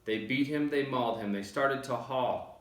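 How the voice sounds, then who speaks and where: A man's voice: mid-range voice, normal speed, echoey room. A man narrating a rhyming children's storybook aloud, with expression. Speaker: storybook reader.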